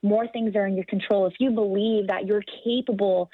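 A woman's voice: medium pitch, fairly even intonation, fairly quick, no echo. American woman on a podcast, earnest motivational talk, dry, kinda lo-fi. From United States. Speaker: guest. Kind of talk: podcast interview conversation.

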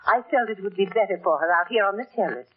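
A woman playing a calm, firm caretaker in a 1940s radio drama. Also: medium pitch, fast, husky. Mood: hushed, firm. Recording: slightly dull old radio broadcast.

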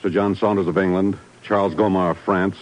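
An actor playing a gruff, worried intelligence bureau chief in a 1950s radio drama, with an urgent, emphatic delivery. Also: male voice, sits low, flat delivery, fairly quick, slight background noise, lightly acted, poor audio. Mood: grave.

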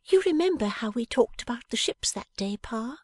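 Here is a playful young wife who coaxes her father in a high, light voice that lifts and swoops, as a woman reader puts her on. The wheedling tone sounds close and dry, with no room around it. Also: sing-song, moderate pace, a little mellow, breathy edge, no room sound. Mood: wistful.

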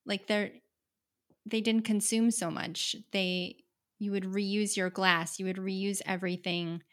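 A woman hosting a relaxed conversation, keeping the talk going with short affirmations and easy laughter. Her voice sits at a middling pitch. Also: slow pace. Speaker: host. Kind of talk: casual interview conversation.

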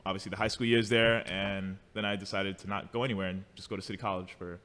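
A man's voice: low-pitched, little pitch movement, quick pace, fairly bright tone. A man from New City, chatting casually as an interview guest in an easygoing, good-humored way. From New York City. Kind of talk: casual chat. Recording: long reverberant room echo.

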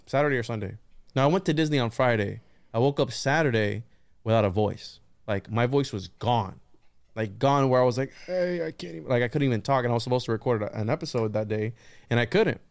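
Joking male podcast host, smooth voice. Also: mid-range voice, no echo. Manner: joking, conspiratorial banter with swearing. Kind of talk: casual podcast conversation.

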